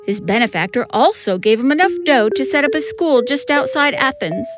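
A woman's voice: high-pitched, expressive, normal speed. A woman reading scripted comic narration with theatrical, wry emphasis. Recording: music behind the voice.

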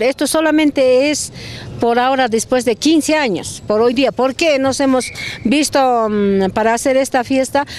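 A middle-aged woman explaining things haltingly in a spontaneous street interview. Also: high voice. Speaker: market trader, interviewee. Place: street under festival tent, outdoors. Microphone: handheld reporter microphone. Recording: outdoor street noise.

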